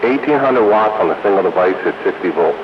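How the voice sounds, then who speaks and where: A man's voice: deep voice, lively intonation, fast. A man chatting casually with fellow hobbyists over a CB radio. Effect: CB radio transmission. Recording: muffled CB radio with clear hiss.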